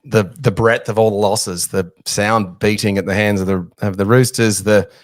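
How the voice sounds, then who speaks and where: A man's voice: fairly low pitch, steady tone, normal speed, no room sound, studio quality. A man hosting a casual sports podcast, chatty and joking at his own expense. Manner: chatty, jokey, self-deprecating. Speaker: podcast host.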